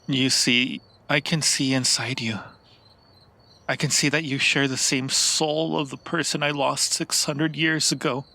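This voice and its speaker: A man acts out an ancient vampire lover for an ASMR roleplay. He draws out his words very slowly, with a heavy creak and a crisp, intense edge. His manner is romantic, possessive and theatrical, full of lingering pauses. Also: mid-range voice, fairly even intonation, sharp tone, exaggerated voice. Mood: yearning, earnest. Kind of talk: improvised ASMR roleplay addressed to the listener.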